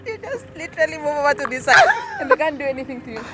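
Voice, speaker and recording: A playful woman you'd hear showing a friend around in a travel vlog, her pitch hopping about over soft music. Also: sing-song, rapid-fire. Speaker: local guide. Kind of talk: casual chat.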